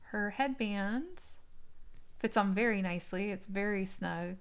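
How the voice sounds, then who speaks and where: A woman's voice: medium pitch, measured pace, smooth. A woman giving a casual, unscripted unboxing review, describing small details in a hesitant, piece-by-piece way. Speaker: doll collector reviewing an unboxing.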